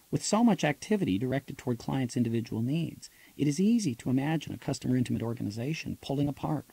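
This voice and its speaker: A man reading his own nonfiction audiobook as a scripted narration, in a measured, explanatory manner. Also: medium pitch, gravelly, mellow, slightly rough, no room sound, poor audio.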